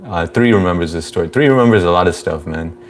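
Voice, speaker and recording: Low-voiced guy doing a chill live stream, flat monotone voice, reads chat out loud, little hum in the background. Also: fast talker. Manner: laid-back, reads chat aloud, chuckles often. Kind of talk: casual live stream chat reading comments.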